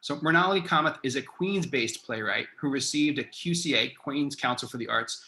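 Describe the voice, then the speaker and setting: A man's voice: medium pitch, moderate pace, pushed and bright. A man hosting a casual interview, talking in an informal, enthusiastic way. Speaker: interview host, artistic director.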